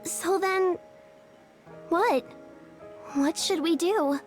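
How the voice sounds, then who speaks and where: A woman's voice: very high voice, extremely slow, faint music behind, full character voice. An actress voicing a small, naive, childlike girl in an anime-style game, plaintive, simple and direct. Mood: shocked, pleading.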